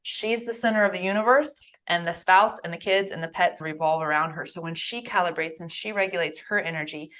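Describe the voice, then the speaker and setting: Lady podcast host, kinda low voice, gets all emphatic and laughy. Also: brisk, few pauses. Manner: emphatic and animated, laughs often. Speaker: podcast host, nervous system coach. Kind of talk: casual podcast conversation.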